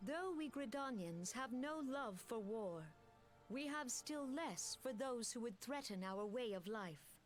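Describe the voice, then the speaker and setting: A woman's voice: fairly high pitch, expressive, soft background music. A grave woman commander. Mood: solemn. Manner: grave, formal and measured. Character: solemn woman commander addressing her people. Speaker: supreme military commander. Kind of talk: video game cutscene voice acting.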